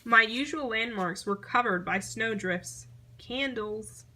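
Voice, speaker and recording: Bright-voiced woman reading a children's story. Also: on the high side, slow, slight background noise. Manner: expressive storytelling, acting out short exclamations. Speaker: picture book reader. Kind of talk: scripted children's story read-aloud.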